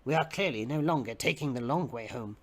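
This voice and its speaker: A man giving a theatrical, expressive dramatic reading of fiction as the narrator. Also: mid-range voice, brisk. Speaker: dramatic reader.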